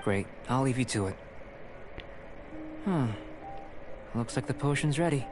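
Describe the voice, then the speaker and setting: A man voicing a calm, detached young alchemist scholar in a video game, speaking in a measured, precise, pensive way. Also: medium pitch, over music, lightly acted.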